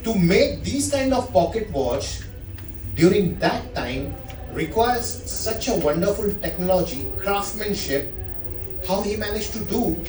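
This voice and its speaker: A middle-aged man from India with an Indian English accent, giving a chatty talk to a live audience and asking them questions. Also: high-pitched. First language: not English. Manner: chatty, asks the crowd questions. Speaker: watch specialist presenter. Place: room at a live event. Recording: echoey room, speaker far from mic.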